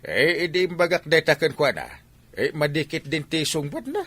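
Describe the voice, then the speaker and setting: An excitable village man played by an actor in an Ilocano comedy. He speaks with an Ilocano accent, fairly high and bright, with very lively swings of pitch and a sense of grievance. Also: moderate pace, breathy edge, full character voice. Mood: indignant. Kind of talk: Ilocano barangay comedy drama acting.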